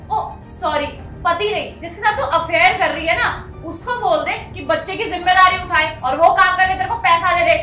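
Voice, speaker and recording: A woman's voice: very high voice, normal speed, few pauses, bright, forceful tone. A young woman playing a haughty, cruel customer in a melodramatic skit, scolding with contempt. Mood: mocking, contemptuous. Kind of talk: melodramatic scripted Hindi skit acting. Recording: roomy echo, faint music behind.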